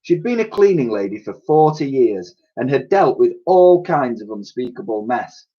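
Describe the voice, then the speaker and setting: A man reading a children's story aloud in an animated, expressive storytelling style. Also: mid-range voice, normal speed, slightly rough, lo-fi sound.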